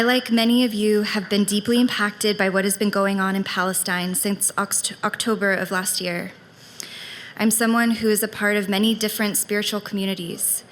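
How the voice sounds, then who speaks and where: A woman giving measured, prepared remarks of gratitude to a congregation. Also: mid-range voice, fairly bright tone, long reverb tail, a little hiss or hum. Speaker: congregation member speaker. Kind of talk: prepared earnest speech. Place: congregation meeting hall.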